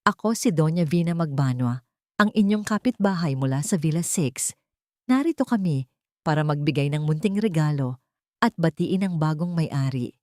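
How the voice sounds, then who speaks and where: A woman's voice: low voice, animated, moderate pace, a little mellow, no room sound, studio quality. A woman voicing a haughty, pretentious wealthy mother-in-law in a storybook, affected and commanding. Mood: affected, proud. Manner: affected, commanding, self-important.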